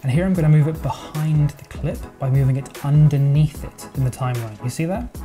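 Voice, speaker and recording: A man narrating a software editing tutorial in a relaxed, chatty way, thinking aloud as he goes. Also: fairly high pitch, brisk. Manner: casual, explanatory, thinks aloud with verbal sound effects. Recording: voice-over with music playing underneath.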